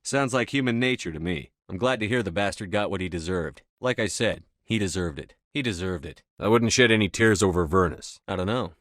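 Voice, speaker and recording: Low-voiced, resolute military commander. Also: male voice, fairly bright tone, dry recording, studio quality. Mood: measured, wry. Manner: measured, firm, clipped military delivery. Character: resolute male military commander in a sci-fi game, calm authority. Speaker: voice actor in a video game. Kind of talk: scripted video game dialogue.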